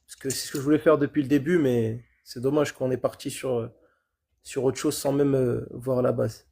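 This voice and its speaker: A man's voice: medium pitch, fairly even intonation, normal speed, vocal fry, dark tone, breathy edge. A man on a live debate call who speaks casually and steers the talk toward method. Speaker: debate co-participant.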